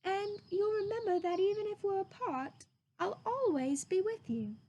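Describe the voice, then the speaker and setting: Woman as a kind, very high-pitched little boy. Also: lively intonation, normal speed, darkish tone, lo-fi sound. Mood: tender, earnest. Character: kind, reassuring young boy, friend of toy animals.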